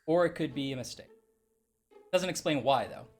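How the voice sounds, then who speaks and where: A man's voice: medium pitch, animated, normal speed, faint music behind. Young man thinking aloud over a game. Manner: thinks aloud, hesitant, reasons through clues. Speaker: game streamer. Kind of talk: casual let's-play chat.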